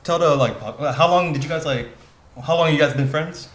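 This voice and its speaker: A man's voice: on the high side, fairly quick, a bit bright. A man chatting casually with friends, chiming in with slangy remarks and questions in a roomy recording. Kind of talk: casual chat among friends. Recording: roomy, echoing sound.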